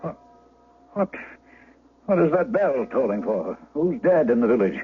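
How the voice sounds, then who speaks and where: An actor playing an imperious, stubborn Duke of seventy in a radio drama, commanding and impatient. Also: male voice, medium pitch, very animated, measured pace, husky, exaggerated voice. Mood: irritated, guarded. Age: older. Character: imperious seventy-year-old Duke, stubborn, haunted, irascible. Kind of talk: 1970s radio drama acting. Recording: tinny, noisy old radio broadcast.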